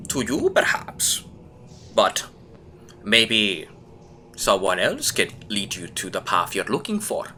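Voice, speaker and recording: A man voicing a cagey, gruff old informant. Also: lowish voice, huge pitch swings, bright, forceful tone, slight background noise, heavily acted. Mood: guarded. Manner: cagey, lecturing, speaks slowly. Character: gruff older man who knew the hero's late father. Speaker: game master voicing a character.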